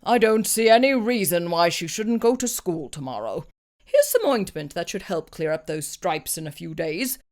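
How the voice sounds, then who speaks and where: A woman's voice: lowish voice, very animated, normal speed, a little mellow. A woman voicing a fussy, bewildered male doctor in a children's storybook, with a pompous, emphatic way of talking. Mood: pompous, puzzled. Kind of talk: children's storybook read-aloud.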